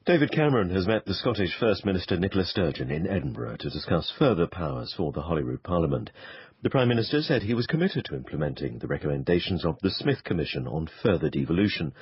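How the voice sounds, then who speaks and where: A man's voice: low voice, slightly rough, no room sound. A British man reading the news formally on the radio. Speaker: radio newsreader. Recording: slightly dull, dry studio sound.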